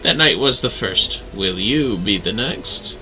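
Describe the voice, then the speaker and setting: A man acts out a theatrical dark knight mentor in a video game, preaching justice with solemn drama. The voice is forceful and swings widely in pitch, with music behind it. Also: animated, slow, heavily acted, low-quality recording. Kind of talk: reading video game dialogue aloud.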